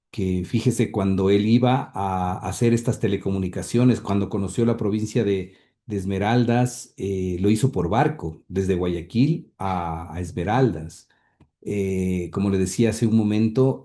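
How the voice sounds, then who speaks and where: A man's voice: fairly low pitch, mellow. A man answering interview questions about his late grandfather, speaking hesitantly with many fillers and repeated words. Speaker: interview guest, grandson of the subject.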